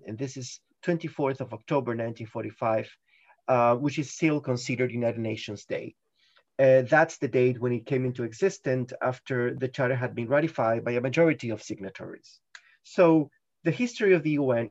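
A man's voice: medium pitch, darkish tone, dry recording, muffled recording. A middle-aged man giving a guest interview on a podcast, explaining carefully with frequent ums and restarts. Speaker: guest, UN guided tours chief.

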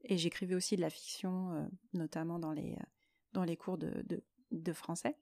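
A woman hosting a solo podcast, explaining her personal experience in a reflective monologue with frequent pauses mid-phrase. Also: lowish voice, little pitch movement, darkish tone, no room sound, clean studio sound. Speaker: podcast host.

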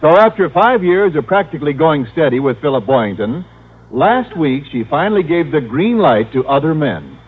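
Man, vintage radio announcer, animated scripted narration, fairly high voice, muffled old recording. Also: moderate pace, breathy edge.

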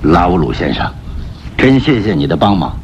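An actor playing an emotional elderly aristocrat and tender father in a radio drama, speaking in a halting, heartfelt way. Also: deep voice, big pitch swings, slight background noise. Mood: grateful. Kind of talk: Mandarin radio drama acting. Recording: dull recording under loud music.

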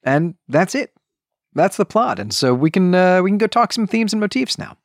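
A man hosts a book discussion podcast with a lively, swooping delivery. He talks conversationally and leans on key words for emphasis, often checking in with the listener. The sound is dry, with no room around the voice. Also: high-pitched, moderate pace, no room sound. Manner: emphatic, checks in with rhetorical "right?". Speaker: podcast host.

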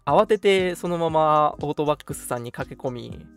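A man hosting a casual radio chat, telling anecdotes in an animated way with vivid sound effects and quoted lines. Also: on the high side, moderate pace, soft background music. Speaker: radio show host.

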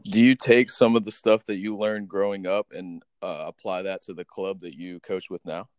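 Low, smooth-voiced male interviewer. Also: fairly even intonation, normal speed, no echo, studio quality. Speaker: interview show host. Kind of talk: casual interview.

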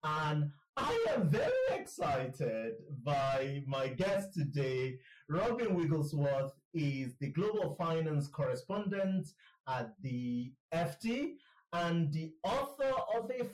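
A man's voice: on the high side, reverberant, recorded from a distance, low-quality recording. A man hosting a podcast interview, enthusiastic and chatty, often repeating words and laughing. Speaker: podcast host. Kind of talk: casual interview chat.

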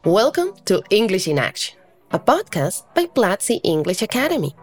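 A woman's voice: medium pitch, big pitch swings, moderate pace, faint music behind. A woman hosting a podcast interview in a conversational style. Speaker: podcast host.

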